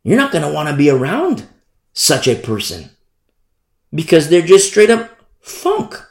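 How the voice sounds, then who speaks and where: Man, preacher, sermon, gravelly, lively swooping pitch, repetitive, echoey room. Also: measured pace. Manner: animated, repetitive preaching with mock quotes.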